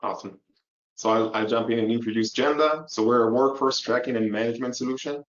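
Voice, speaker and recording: A man from Israel, a company co-founder and CEO, presenting a webinar in a brisk, friendly way with clipped phrases. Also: medium pitch, steady tone, fairly bright tone. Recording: roomy, dull lo-fi webinar audio.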